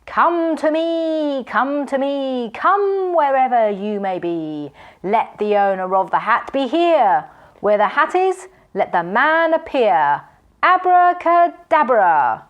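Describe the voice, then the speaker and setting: A woman voicing a wicked male magician who shouts furious, blustering threats. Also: high voice, big pitch swings, slow pace, highs cut off, voice pushed up, heavily acted. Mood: chanting.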